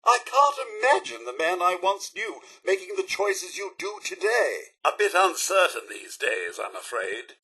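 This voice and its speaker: A prim british robot butler for a game, high pitched n swoops all over the place, super fussy and polite. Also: male voice, pushed and bright, breathy edge, heavily acted, poor audio. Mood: wistful, disappointed. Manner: prim, formal, effusively polite, theatrical. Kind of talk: video game voice acting.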